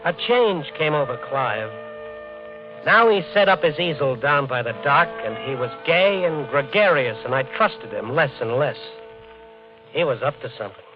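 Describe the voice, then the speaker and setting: An actor playing a tense, suspicious hotel owner in a 1950s radio drama, gruff and terse. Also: male voice, a little high, animated, moderate pace, music in the background, natural voice. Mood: bitter narration. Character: tense, suspicious, lovestruck hotel owner and hard-boiled narrator. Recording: dull, lo-fi 1950s radio recording.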